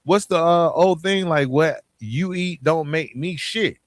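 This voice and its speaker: A man's voice: on the high side, unhurried, no echo, clean studio sound. A man with an Atlanta Southern accent giving an informal motivational podcast monologue, conversational and emphatic, often repeating phrases and posing questions. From Atlanta, southern United States. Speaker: podcast host.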